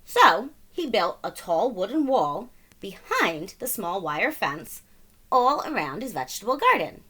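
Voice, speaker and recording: A woman's voice: medium pitch, big pitch swings, measured pace, bright, forceful tone, breathy edge. A woman reading a children's story aloud in a dramatic, emphatic style, playful with sound words. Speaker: storybook reader. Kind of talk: animated children's read-aloud narration.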